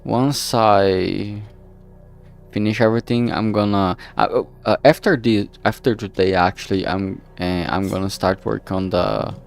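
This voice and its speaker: A man livestreaming casually while he works, talking in a halting, distracted way that trails off mid-sentence. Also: fairly low pitch, steady tone, gravelly. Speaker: streamer and visual novel creator. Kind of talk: casual solo livestream chat while working. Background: background music.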